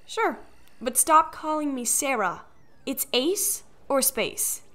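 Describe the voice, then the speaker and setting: A woman's voice: high voice, expressive, takes it slowly, fairly bright tone, full character voice. A woman playing an anxious, studious teenage girl in an audiobook. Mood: fretful. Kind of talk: audiobook character acting.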